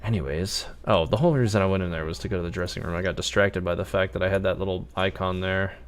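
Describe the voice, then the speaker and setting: A man's voice: low voice, fairly even intonation, fast talker, smooth. A man doing casual game commentary on a livestream, chatting and thinking aloud as he plays. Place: indoors at a computer.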